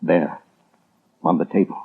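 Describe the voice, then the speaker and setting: An actor in a 1930s radio melodrama playing a panicky, scheming, guilty husband who stammers nervously. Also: male voice, deep voice, steady tone, measured pace, gravelly, slightly rough, full character voice. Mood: nervous pleading. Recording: noisy, tinny 1930s radio broadcast.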